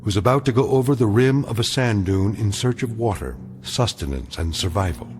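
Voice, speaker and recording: A man narrating a radio drama in a measured, solemn storytelling manner. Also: fairly low pitch, expressive, darkish tone, lightly acted. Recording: music beneath the voice.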